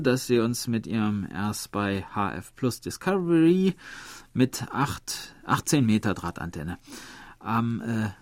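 A man's voice: medium pitch, dry recording. A man presenting a radio program from a studio, reading announcements and listener mail. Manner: lively, reads listener mail aloud.

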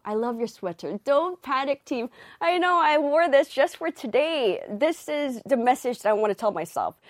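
A woman's voice: mid-range voice, dry recording. A woman DJ hosting a live radio show in the studio, reading out listener messages in a bubbly, nervous way on her first broadcast.

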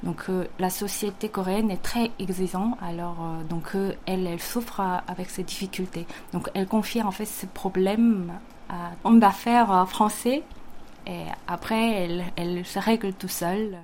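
Young Korean-accented woman, comic artist guest, halting careful answers with frequent pauses. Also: medium pitch, steady tone, a little mellow, a little hiss or hum. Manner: hesitant, frequent fillers, careful non-native phrasing. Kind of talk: interview answers.

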